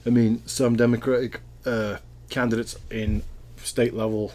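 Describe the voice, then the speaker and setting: A man's voice: medium pitch, flat delivery, measured pace, a little mellow. A Welsh man answering interview questions in a casual, thoughtful way, often rambling and restarting his sentences. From Wales.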